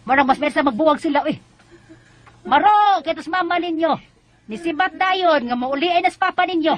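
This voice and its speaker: A woman's voice: extremely high pitch, moderate pace. A woman playing a blunt, disapproving, gossipy grandmother in a radio drama, emphatic and with a Bisaya accent. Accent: Bisaya Filipino. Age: older.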